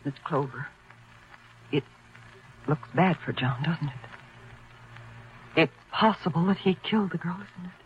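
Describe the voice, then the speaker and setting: An actress playing a bitter, composed housewife with a controlled, wry delivery in 1940s radio drama style. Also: female voice, deep voice, a little slow, pauses often, background noise. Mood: guarded, wry. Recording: muffled vintage 1940s radio recording.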